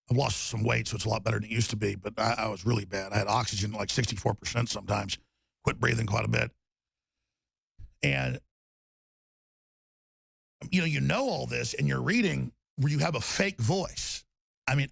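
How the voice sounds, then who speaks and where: A man hosting a talk radio show, raspy and torn-up in tone, rambling emphatically with loud bursts and low mutters. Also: no echo. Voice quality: raspy, gravelly voice. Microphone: studio microphone.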